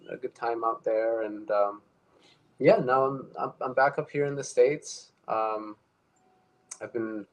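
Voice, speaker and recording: A man's voice: medium pitch, poor audio. A young man from Ecuador, a guest telling his personal story in a casual conversation, thoughtful and full of fillers and restarts. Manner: reflective, many fillers and restarts.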